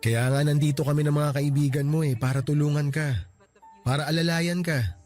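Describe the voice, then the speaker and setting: A man's voice: a little high, steady tone, normal speed, natural voice. An actor playing a young man's close friend who comforts him and teases him along, in a Filipino radio drama. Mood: comforting. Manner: gentle, reassuring, teasing. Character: supportive joking male friend of the grieving man. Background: soft music.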